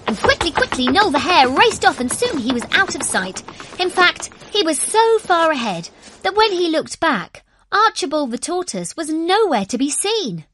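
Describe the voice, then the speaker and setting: A woman narrating a scripted children's story in an expressive, deliberate storytelling manner. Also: sits high, background noise.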